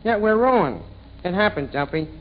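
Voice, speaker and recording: A man as high, swooping New barkeep. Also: big pitch swings, normal speed. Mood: sheepish. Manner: hesitant, folksy, mangling his words. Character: bumbling, wisecracking lowbrow New York tavern manager on the phone. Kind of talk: 1940s radio comedy impression. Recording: dull, hissy vintage radio recording.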